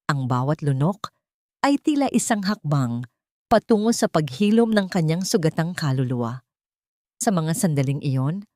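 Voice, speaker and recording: A woman reading a scripted, dramatic love story in a measured, solemn, melodramatic way. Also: lowish voice, mellow, dry recording, clean studio sound. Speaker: story narrator.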